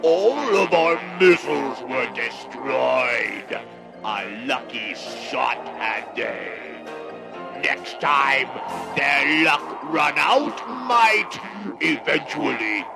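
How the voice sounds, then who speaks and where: A man playing a vengeful, ranting alien warlord villain with a gravelly croak, in a theatrical children's audio adventure. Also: mid-range voice, animated, heavily acted, rough recording. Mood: furious, vengeful. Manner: slow, theatrical, inverted syntax. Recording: music bed under voice. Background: music.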